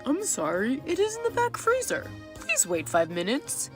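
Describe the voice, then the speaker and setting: A woman's voice: high voice, wildly swooping pitch, normal speed, music in the background, voice pushed up. A woman voicing an apologetic storybook shopkeeper asking a customer to wait.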